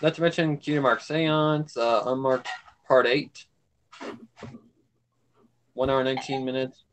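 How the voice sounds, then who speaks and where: A man's voice: mid-range voice, little pitch movement, a little slow. Man chatting casually, blunt and grumbling. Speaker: livestream co-host. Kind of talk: casual chat.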